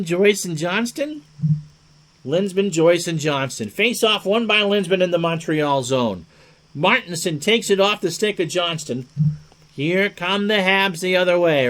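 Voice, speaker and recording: Higher-pitched man calling a hockey game. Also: fairly bright tone. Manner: chatty, clipped play calls with joking asides. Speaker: play-by-play sports commentator.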